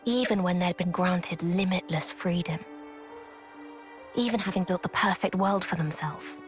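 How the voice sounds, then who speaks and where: A woman playing a grave, knowing character in a video game cutscene, challenging another in a measured, solemn, probing way. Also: on the low side, music in the background, natural voice.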